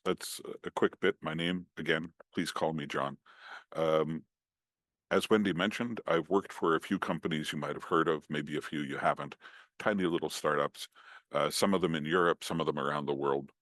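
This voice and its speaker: A man with a Scottish accent giving a relaxed, chatty online talk over a computer microphone, joking at his own expense. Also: a bass voice, dry recording, clean studio sound. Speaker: guest speaker. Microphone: computer mic on a video call.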